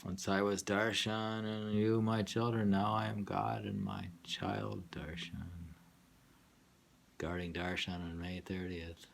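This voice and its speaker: Male poet, very low voice, halting and reflective, reading his own verse with murmured asides on a slightly noisy amateur recording. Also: steady tone, vocal fry. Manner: halting, musing, hums to himself between lines. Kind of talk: poetry reading with muttered asides.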